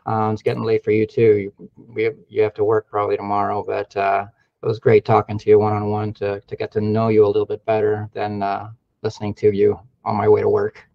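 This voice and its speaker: A man from the Philippines chatting casually on a remote call as a mentee, haltingly thinking aloud. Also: fairly low pitch, completely flat delivery, creaky. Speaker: mentee learning real estate investing. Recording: muffled call audio with room echo.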